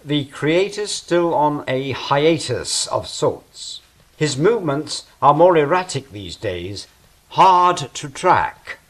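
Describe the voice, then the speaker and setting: A man playing an anxious, defensive angel who guards Eden in an audio drama, fretful and deliberate. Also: a little high, very animated, full character voice. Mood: uneasy. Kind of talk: stage-style audio drama acting.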